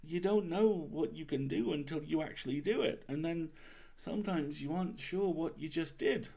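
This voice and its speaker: A man reading as a young girl baker and wizard, chatty and self-deprecating, in an expressive confiding storybook manner. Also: fairly high pitch, normal speed, vocal fry, pitched above the performer's own voice. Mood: wry, chatty.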